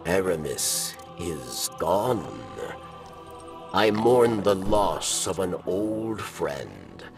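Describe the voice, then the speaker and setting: Very deep, gravelly old alien. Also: male voice, big pitch swings, full character voice. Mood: bittersweet, mournful. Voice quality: gravelly, breathy at times. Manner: slow, halting, solemn phrasing. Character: weary old alien outcast, grave and regretful. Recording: distant sound under loud music.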